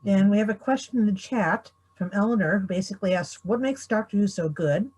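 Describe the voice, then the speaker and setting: A woman's voice: mid-range voice, a little fast, darkish tone, rough recording. A woman acting as panel moderator, speaking conversationally as she runs an online discussion. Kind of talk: relaying audience questions in a panel.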